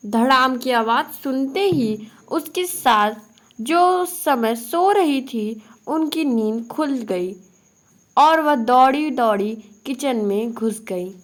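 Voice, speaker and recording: A woman narrating a scripted moral story for children. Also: high-pitched.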